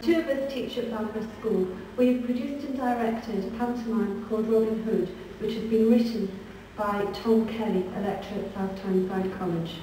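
A woman with a North East English accent, a teacher introducing a school pantomime to an audience in measured, proud tones. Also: mid-range voice, fairly even intonation, warm tone. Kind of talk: formal spoken introduction. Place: school hall. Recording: echoey hall, distant camcorder, hiss.

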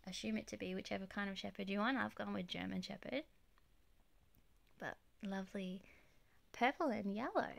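A woman showing off her plush toy collection in a gushing, chatty way, her voice slightly croaky from being unwell, filmed on a handheld phone in her bedroom. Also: mid-range voice. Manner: gushing, chatty, soft giggles. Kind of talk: casual show-and-tell narration. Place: bedroom with plush toys on display. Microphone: handheld phone camera, off-camera voice.

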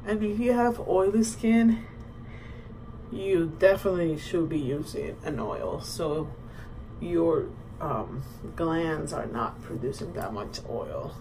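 Slow-talking bilingual woman. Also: lowish voice. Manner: relaxed, switches between Spanish and English. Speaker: vlogger. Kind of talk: casual bilingual vlog, narrating a skincare routine. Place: small indoor bathroom. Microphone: camera or phone at about arm's length. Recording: clearly noisy home bathroom recording.

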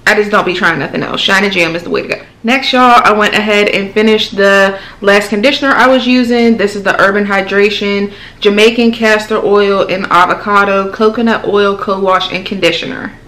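A woman's voice: medium pitch, brisk, few pauses. A woman in her twenties, a beauty vlogger chatting casually about products, her voice altered while she recovers from throat surgery. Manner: chatty and animated, calls viewers y'all and girl. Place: small room indoors with product shelves.

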